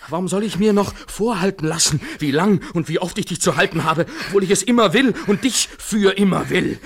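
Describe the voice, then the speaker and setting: A husky, obsessive, anguished lover. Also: male voice, a little high, exaggerated voice. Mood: desperate, raging. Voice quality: breathy, husky. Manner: intense poetic declamation, theatrical. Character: obsessive, anguished male lover, swinging from tender to desperate. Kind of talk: literary radio play monologue, poetic and impassioned.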